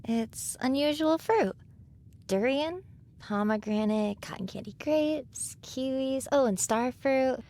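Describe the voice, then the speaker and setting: Female actor as seventeen-year-old girl, higher voice, hesitant, self-doubting, youthful, audio drama. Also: expressive, slow pace, a little mellow, heavily acted. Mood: nervous, sheepish.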